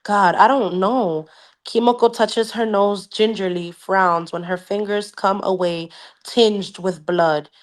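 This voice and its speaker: A woman's voice: mid-range voice, steady tone, slow. A woman co-hosting a book club podcast, casually reading aloud with expression and swearing, sometimes interjecting in Spanish. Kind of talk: casual read-aloud with reactions.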